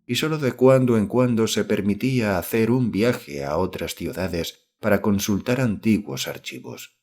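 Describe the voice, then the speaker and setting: A man reading a literary horror story as an audiobook narrator, in measured, formal scripted narration. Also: fairly low pitch, a little mellow.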